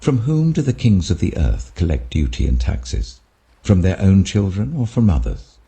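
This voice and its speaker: A British man voicing Jesus as an authoritative, earnest teacher, deliberate and emphatic. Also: low voice, wildly swooping pitch, mellow, breathy edge, voice pushed up, exaggerated voice. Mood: patient, instructive.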